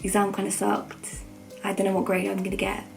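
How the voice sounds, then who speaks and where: A young woman with a croaky voice, chatting informally and rambling in a self-deprecating way as a student vlogger. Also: medium pitch, monotone, fairly quick, faint music behind. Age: young adult. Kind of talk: casual chat to camera.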